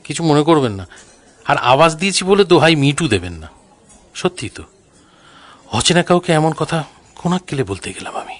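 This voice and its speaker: Man acting a sheepish, lively flirtatious suitor. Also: on the high side, normal speed, long pauses, no echo, close to an everyday voice. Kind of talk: audio drama romantic comedy dialogue.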